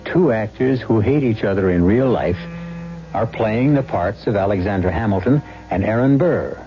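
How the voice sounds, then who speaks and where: A man narrating a radio drama as its host, in a deliberate, suspenseful, dramatic style. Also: on the low side, animated. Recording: muffled 1970s radio recording. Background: music.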